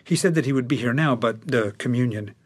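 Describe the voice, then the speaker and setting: An actor playing a careful, placating middle-aged husband in a naturalistic radio drama, speaking tentatively and haltingly. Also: male voice, medium pitch, slightly rough, natural voice.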